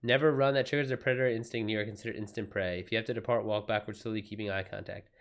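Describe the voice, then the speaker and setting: A man livestreaming in a casual chat style, talking informally and slangily with animated reactions to his viewers. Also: fairly low pitch, racing. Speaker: live streamer and author answering viewer questions.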